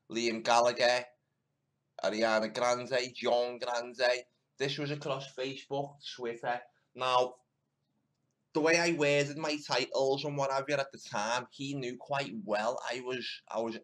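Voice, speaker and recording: Combative man with medium pitch. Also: a bit bright. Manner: accusatory, combative. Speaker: online channel host. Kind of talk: opinionated spoken commentary.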